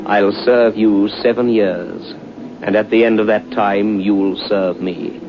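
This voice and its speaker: A very low-voiced gentleman devil, formal and menacing. He speaks with measured command, as in an old radio drama. The recording is dull, with clear noise. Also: fairly even intonation. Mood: coldly persuasive. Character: suave, menacing gentleman devil recruiting souls.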